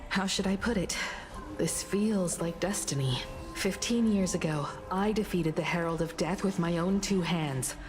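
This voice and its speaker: A woman's voice: fairly low pitch, unhurried, lightly acted. A woman playing a composed, confident veteran heroine and mentor with formal, poised speech in an anime game. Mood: encouraging. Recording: loud music behind.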